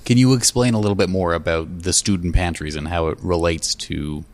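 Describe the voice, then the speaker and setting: A man's voice: low-pitched, steady tone, a little fast. A man asking questions in a conversational radio interview.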